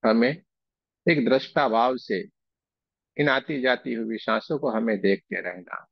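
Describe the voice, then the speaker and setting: A man leading a guided meditation, speaking in slow, soothing, repeated phrases with pauses between them. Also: mid-range voice, gravelly, dry recording, dull audio. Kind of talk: guided meditation with chanted invocation and slow repetition.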